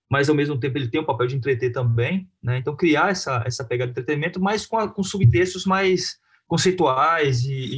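A man with a Brazilian accent talking informally and haltingly in an interview about his own work, often adding little tag questions. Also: a little high, husky. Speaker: film director being interviewed.

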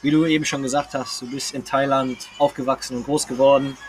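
A young man with a mid-pitched voice talks over clear background noise. He is a casual co-host who puts questions to his partner and teases as he interjects. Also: level tone, a little fast. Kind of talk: casual chat.

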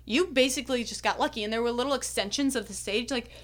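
Young woman, kinda hoarse, rambling story w lots of likes and ums. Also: fairly high pitch, fast. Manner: chatty storytelling, many fillers. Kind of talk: casual chat.

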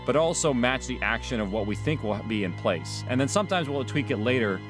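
A man's voice: mid-range voice, expressive, brisk. Man explaining, faint music underneath. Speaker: audio drama sound producer. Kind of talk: explanatory documentary interview.

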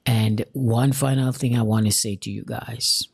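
A Jamaican-accented man from Jamaica giving an earnest, deliberate motivational talk straight to his viewers, repeating key lines for emphasis. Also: mid-range voice, steady tone, vocal fry, slightly rough, dry recording, studio quality. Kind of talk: motivational direct-to-camera talk.

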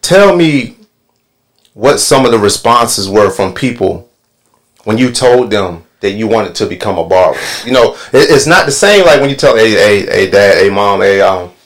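A man's voice: lowish voice, normal speed. A man hosting a casual podcast panel, talking loosely with lots of ums and restarts. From Northern Virginia. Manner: relaxed, filler-heavy with many ums. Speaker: podcast host and barber.

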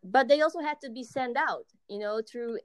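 A woman's voice: fairly high pitch, moderate pace, dry recording, clean studio sound. A young woman from the Netherlands with a Dutch accent, chatting as a podcast guest, hesitant with many ums and frequent laughs. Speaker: podcast guest, software developer. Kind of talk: casual interview chat.

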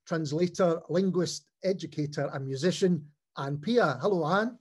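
A man's voice: on the high side, moderate pace, husky. A man hosting a podcast, asking questions in a friendly, hesitant way. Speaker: podcast host. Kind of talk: interview.

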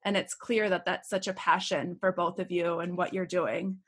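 A woman's voice: fairly low pitch, level tone, normal speed, fairly bright tone, slightly rough. Enthusiastic podcast host woman. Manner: enthusiastic, gushing. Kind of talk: podcast interview.

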